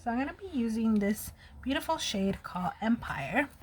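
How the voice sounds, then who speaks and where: A woman's voice: on the high side, lively intonation, moderate pace, slight background noise. A woman in her thirties with a slight lisp, chatting casually and openly to her viewers while doing her makeup. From United States. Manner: chatty, open and personal. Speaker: vlogger.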